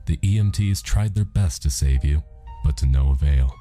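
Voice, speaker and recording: A man's voice: very deep voice, moderate pace, mellow, soft background music. A man reading scripted audiobook narration as the storyteller.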